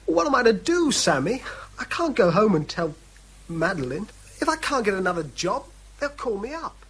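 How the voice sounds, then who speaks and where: A helpless, agitated British man in a radio play. His strained, raspy voice leaps about plaintively, far higher than usual male talk. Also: sing-song, normal speed, a bit bright, exaggerated voice. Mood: distraught. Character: distraught, helpless sacked male British colleague. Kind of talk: radio drama acting.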